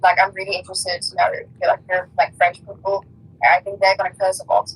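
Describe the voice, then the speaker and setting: Slightly husky woman, fairly even. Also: medium pitch, fast, poor audio. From Africa. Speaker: podcast co-host. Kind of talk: casual podcast chat. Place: online voice call.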